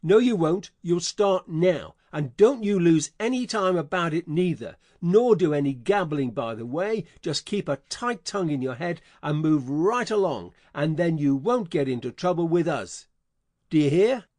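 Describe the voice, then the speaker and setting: A man playing a scheming adult con man, speaking in a gruff, folksy, bullying way in an audiobook dramatization. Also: a little high, expressive, measured pace, darkish tone, dry recording. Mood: commanding.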